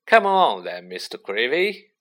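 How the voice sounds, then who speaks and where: Man playing a pompous, booming, drawn-out celebrity teacher. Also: big pitch swings, fairly bright tone. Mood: booming, jovial. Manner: pompous, hearty, patronising. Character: vain, jovial, booming adult male celebrity teacher.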